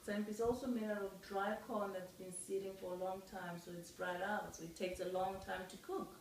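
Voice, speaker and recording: Middle-aged woman, casual home interview, halting with ums, mid pitch, boomy room, distant mic. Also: steady tone, a little mellow, a little hiss or hum. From Southern Africa. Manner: unhurried, explaining with frequent ums. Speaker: interviewee, parent. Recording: echoey room, mic far off.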